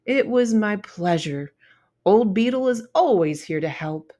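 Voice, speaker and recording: A woman voicing a kindly, soft-spoken old male stag beetle in a children's storybook read-aloud. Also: medium pitch, expressive, a little slow, close to an everyday voice. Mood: gentle, reassuring. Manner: gentle, soft-spoken, grandfatherly.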